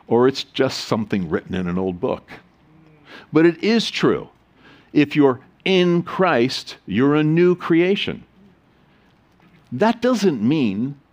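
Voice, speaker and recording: A man preaching a sermon as pastor, reading scripture solemnly and adding joking, animated asides. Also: medium pitch, highs cut off.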